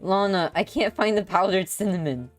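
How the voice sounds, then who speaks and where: A woman reading a visual novel aloud, voicing an eager, careless, excitable young apprentice fire witch. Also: mid-range voice, normal speed, faint music behind, voice pushed up, close to an everyday voice. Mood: puzzled.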